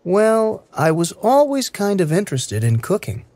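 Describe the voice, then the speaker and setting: A man reading short first-person lines slowly and clearly for English learners. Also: high-pitched, very animated, darkish tone, no room sound. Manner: clear, careful, evenly paced delivery. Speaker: English listening practice reader. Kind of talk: slow scripted reading for learners.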